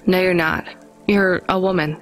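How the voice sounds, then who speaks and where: A woman's voice: lowish voice, fairly even intonation, moderate pace, faint music behind, close to an everyday voice, low-quality recording. An actress playing a weary, caring young woman who works as a delivery driver in a video game. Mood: tired. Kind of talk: naturalistic video game acting.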